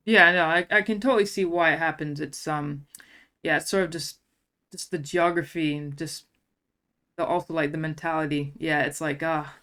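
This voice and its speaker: A woman's voice: deep voice, fairly even intonation, moderate pace, bright, forceful tone. A woman hosting a casual chat, retelling moments by acting out what was said. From USA. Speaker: host.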